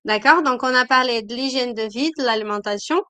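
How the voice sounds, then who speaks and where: Woman, slightly stuffy with a cold, higher voice, crisp and dry, hesitant with fillers, live stream. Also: brisk, bright, forceful tone, no echo. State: has a cold.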